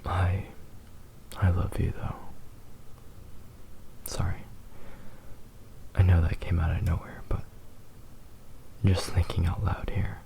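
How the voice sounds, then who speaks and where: Guy doing a yandere fox boy asmr, low creaky whisper, clingy and super devoted, tender. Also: flat delivery, exaggerated voice. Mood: tender, adoring. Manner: soft, tender, hesitant, drawn-out delivery. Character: possessive, devoted young male fox spirit husband. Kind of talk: ASMR romantic roleplay, whispered.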